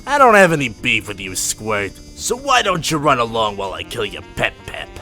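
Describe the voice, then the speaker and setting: A man's voice: mid-range voice, wildly swooping pitch, moderate pace, bright, forceful tone, soft background music, pitched above the performer's own voice, heavily acted. A man voicing an unhinged, murderous birdman villain in an audio drama, with bitter, mocking sarcasm. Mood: mocking menace. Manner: bitter sarcastic mockery, bellowing and cursing.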